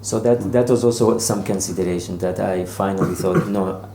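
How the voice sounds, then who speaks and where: A man's voice: sits low, steady tone, moderate pace, mellow. A man speaking as an interviewed novelist in an informal discussion, searching for words and often repeating them. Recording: roomy echo with faint background noise.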